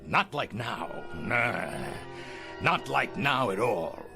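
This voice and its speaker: Gloating old tycoon, wildly swooping delivery. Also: male voice, medium pitch, expressive, slow pace, vocal fry, music in the background. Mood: boastful nostalgia. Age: older. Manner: gloating, theatrical, sardonic. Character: ruthless aging male tycoon, boastful, hungry, nostalgic for hometown. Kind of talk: audio drama acting.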